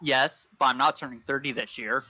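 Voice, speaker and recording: A man in his late twenties chatting on voice chat, flat and stubborn. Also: medium pitch, a little fast, lo-fi sound. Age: 20s. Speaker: raid player. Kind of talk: casual voice chat.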